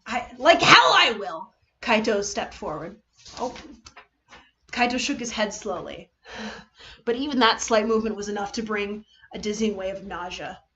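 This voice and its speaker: A woman reading a story aloud as narrator, with expressive, theatrical delivery. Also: medium pitch, moderate pace, long pauses, lo-fi sound, slight background noise. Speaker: streamer reading fan fiction.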